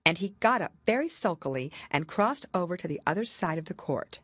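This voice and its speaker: A woman's voice: lowish voice, sing-song, a little fast, husky, no room sound, studio quality. A woman narrating a children's storybook from a script as an audiobook reader.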